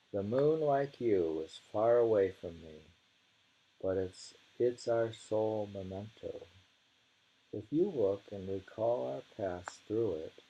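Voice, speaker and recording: A man with a dark, mellow and gravelly voice reads poetry aloud at an informal pace. He is deliberate with the verse and slow to move on, pausing to muse and wonder about what it means. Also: low-pitched, slight background noise, rough recording. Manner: deliberate delivery when reading, musing and tentative in asides. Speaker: reader of poetry. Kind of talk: reading translated poems aloud with informal commentary.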